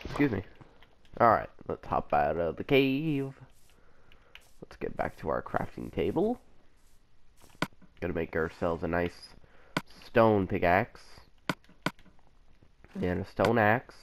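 A man's voice: sits low, sing-song, takes it slowly, gravelly, long pauses, noisy background. A young man rambling casually while playing a game, still sick with a cough and the flu. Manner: rambling, chatty, self-correcting. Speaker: gameplay commentator. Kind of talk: casual let's play commentary.

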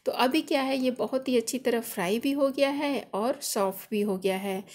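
Woman host, casual home-cook voice-over, slightly high voice, patient and explanatory. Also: darkish tone. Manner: friendly instructive, chatty home-cook explaining. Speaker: cooking channel host. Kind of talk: recipe voice-over narration.

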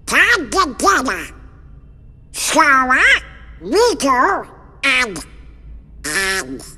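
A squawky, garbled cartoon duck, excitable and loyal, pitched high and piercingly bright. The words come slowly in an echoing hall, as in a video game scene. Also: breathy edge, heavily acted. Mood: urgent.

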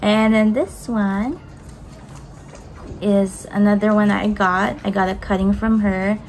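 A woman's voice: medium pitch, fairly even intonation, slow pace, a little mellow, a little hiss or hum, poor audio. Chatty woman unboxing plants. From Philippines. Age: young adult. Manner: chatty and upbeat, gushing, talks while handling plants. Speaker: plant vlogger. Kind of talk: casual unboxing chat. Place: home kitchen, indoors. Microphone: camera on the table in front of her.

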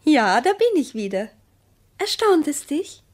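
An actress in a German radio play voicing a distrustful, needling wife, speaking in a measured, pointed way with hushed phrases. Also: female voice, sits high, very animated.

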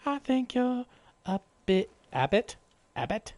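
Animated, slow-talking man streaming a game. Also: very high voice, no echo. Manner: exclamatory, sweary, jokey muttering to himself. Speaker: video game live streamer. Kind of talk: casual gameplay commentary.

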